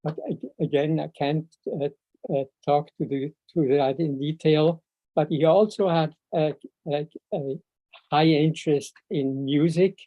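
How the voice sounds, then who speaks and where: Halting older Swiss German man, slow speech. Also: on the high side, fairly even intonation. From Switzerland. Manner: halting, searching for words, frequent long hesitations. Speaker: speaker at a memorial seminar. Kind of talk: informal spoken tribute. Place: video call, seated indoors. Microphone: video call microphone. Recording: muffled, echo-free video call audio.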